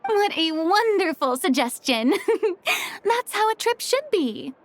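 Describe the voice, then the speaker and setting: A woman's voice: very high voice, sing-song, takes it slowly, noisy background, heavily acted. An actress voicing a poised, refined woman film director.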